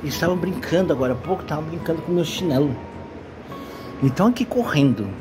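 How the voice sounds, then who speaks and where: A man's voice: a little high, fast talker. Older brazilian guy filming himself in a cat cafe, chatty, music playing behind. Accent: Brazilian Portuguese. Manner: chatty, easily delighted, talks to viewers. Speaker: vlogger. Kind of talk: casual vlog chat. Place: cat café indoors. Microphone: phone held at arm's length. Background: background music.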